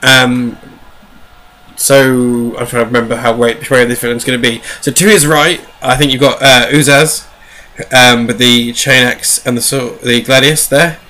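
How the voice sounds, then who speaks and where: A man with a bright, forward voice and medium pitch talks as a co-host in a loose fan discussion. He rambles enthusiastically, doubling back on his phrases as he goes. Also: fairly even intonation, moderate pace. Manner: casual swearing. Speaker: podcast co-host. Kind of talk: casual fan discussion of sci-fi novels.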